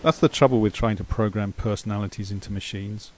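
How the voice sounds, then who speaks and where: A man's voice: lowish voice, fairly even intonation, fairly quick, a crowd in the background, natural voice. A man playing an irritable, sarcastic boss of a talking machine in an amateur radio drama. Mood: wry, exasperated. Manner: sarcastic, exasperated.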